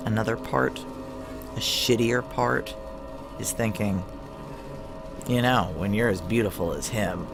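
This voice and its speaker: A woman's voice: a bass voice, a little slow, gravelly, pauses often, music in the background, full character voice. A woman acting a tough, guarded female security chief in a noir audio drama, terse, wry and confiding. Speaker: lead character and narrator.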